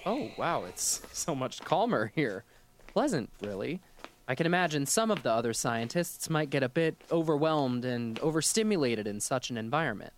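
A man's voice: mid-range voice, expressive, moderate pace, natural voice. A man acts out a young scientist new on the job in an audio drama. His voice swings widely with nervous eagerness, polite and easily awed, over faint background noise. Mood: awed, curious. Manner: polite, awestruck, hesitant.

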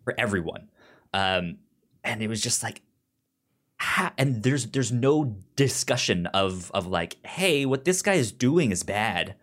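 A man hosting a podcast in casual, opinionated recap chat, animated, with a frequent stutter that repeats the first sounds of words. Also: fairly low pitch, unhurried. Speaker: podcast host.

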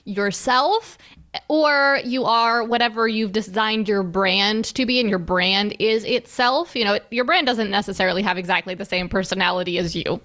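A woman hosting a podcast in casual conversation, chatty and quick to laugh, acting out quotes in a lively voice. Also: mid-range voice. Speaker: podcast host.

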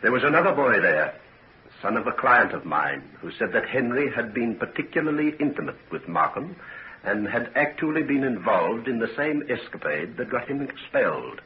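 An actor playing a grave, protective middle-aged father in a 1940s radio drama, speaking with solemn, deliberate earnestness. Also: mid-range voice, very animated, natural voice. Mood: grave, reluctant. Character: grave, suspicious, protective father of a grown daughter. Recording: dull, noisy 1940s radio recording.

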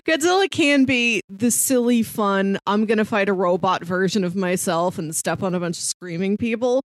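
A woman's voice: mid-range voice, normal speed, dry recording. A woman co-hosting a film podcast, explaining her thoughts in a casual, thoughtful discussion. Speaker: podcast co-host.

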